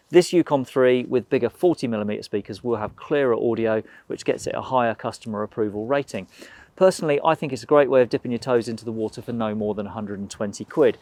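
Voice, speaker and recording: A man giving a brisk, practical scripted review of motorcycle intercoms for a gear shop. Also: medium pitch, darkish tone, no room sound.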